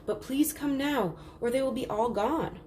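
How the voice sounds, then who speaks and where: Woman voicing an excitable, breathless little girl. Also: sits high, moderate pace, a little hiss or hum, pitched above the performer's own voice, natural voice. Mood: breathless, excited. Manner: breathless, gushing, urgent. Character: excitable, eager young girl, little sister.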